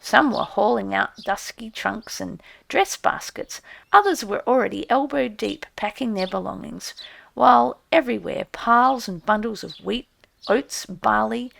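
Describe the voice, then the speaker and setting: A woman narrating an audiobook in an expressive storybook style. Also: fairly high pitch, unhurried, creaky.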